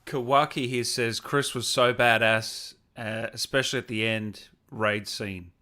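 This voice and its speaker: A man's voice: medium pitch, fairly even intonation, a little slow, pushed and bright. A man hosting a casual interview, reading viewer comments aloud and dropping short interjections.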